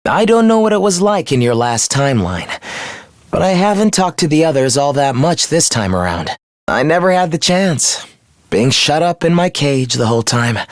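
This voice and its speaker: Smug, taunting young villain, swooping pitch. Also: male voice, no echo, studio quality. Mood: teasing calm. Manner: mocking, condescending, theatrical. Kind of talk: video game character acting.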